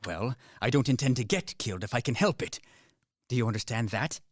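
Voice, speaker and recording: A man acting in a radio play as a desperate fugitive soldier, brisk, commanding and sardonic. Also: medium pitch, lively intonation, dry recording, natural voice. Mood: wry, menacing. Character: fugitive Serbian soldier, cynical, desperate, coolly threatening. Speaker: actor in a student radio play.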